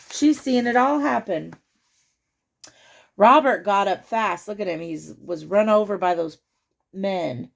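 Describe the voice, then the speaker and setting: A woman hosts a children's storytime with a fairly high voice that crackles heavily with fry. She reads expressively, then chats to the young listeners, asking them questions and pointing out the pictures. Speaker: storytime host reading a picture book to children. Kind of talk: read-aloud storytelling with chatty asides to young listeners.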